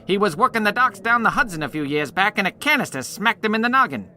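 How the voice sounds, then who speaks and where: An actor playing a flippant, callous state warden, glib and slangy, in a comedic radio play. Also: male voice, high voice, sing-song, fast talker, sharp tone, exaggerated voice. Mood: glib, mocking. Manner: glib, slangy, mangles big words.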